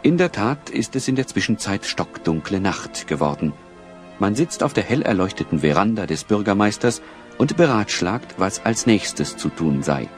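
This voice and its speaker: A man narrating a children's adventure radio play as a composed storyteller, measured and explanatory. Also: fairly low pitch, big pitch swings, faint music behind. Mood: scene-setting.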